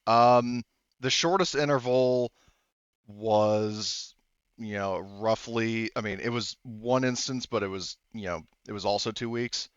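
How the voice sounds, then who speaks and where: A man working as game master, narrating a tabletop game off the cuff in a halting, rambling, self-correcting way. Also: on the low side, clear, resonant tone, no echo, lo-fi sound.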